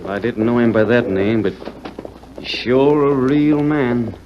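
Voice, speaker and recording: An actor playing an honest, plainspoken cowboy in a 1940s radio western. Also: male voice, medium pitch, a little slow, noisy background, close to an everyday voice. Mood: hearty. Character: plainspoken, honest wronged cowboy, former sheriff. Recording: dull 1940s radio recording.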